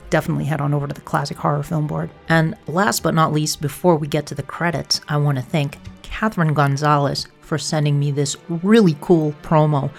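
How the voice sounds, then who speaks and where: A woman's voice: low-pitched, fairly even intonation, brisk, creaky, few pauses, soft background music. A woman hosting a fan podcast, chatting and making introductions in an enthusiastic manner. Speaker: podcast host.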